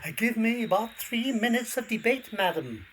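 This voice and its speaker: A woman's voice: on the high side, very animated, moderate pace, a little hiss or hum, rough recording. A woman playing a zealous, haranguing male Baptist minister in a period audio drama, formal and preachy. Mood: argumentative.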